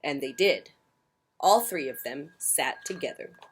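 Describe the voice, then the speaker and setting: A woman hosting a children's story time, reading picture books aloud in a theatrical, emphatic way with swells and hushes. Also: deep voice, lively intonation, unhurried, slightly rough. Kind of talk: expressive picture-book read-aloud. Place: outdoors on a porch.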